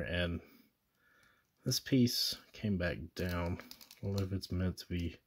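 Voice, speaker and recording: Low-voiced man talking through a toy. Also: fairly bright tone. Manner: casual, talks viewers through steps with fillers and self-corrections. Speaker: toy reviewer. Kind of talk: casual hands-on toy demonstration. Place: indoors at a table with a posterboard backdrop.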